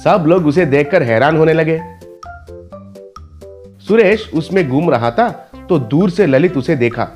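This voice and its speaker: A man's voice: fairly high pitch, animated, unhurried, a little mellow. A man reading scripted children's story narration, with light music underneath.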